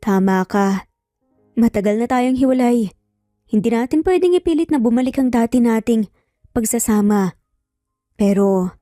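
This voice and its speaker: Calm, guarded mother, mellow high voice, woman narrator acting. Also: dry recording, studio quality, soft background music, close to an everyday voice. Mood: hesitant, sincere. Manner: calm, measured, sincere. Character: earnest, guarded mother, gently firm with her children. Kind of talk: dramatized storytelling.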